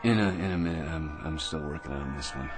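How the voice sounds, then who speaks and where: An actor playing a brash, eager, self-deluded schemer in a comic radio drama, full of cocky tag questions and nervous chuckles. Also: male voice, rumbling low, brisk, over music. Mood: know-it-all.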